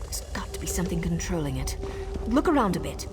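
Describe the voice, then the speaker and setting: A woman's voice: fairly low pitch, sing-song, fast, big-room sound, music in the background. An actress voicing a wry, roguish adventurer woman with a sordid past in a video game, sly, teasing and conversational. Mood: alert, urgent.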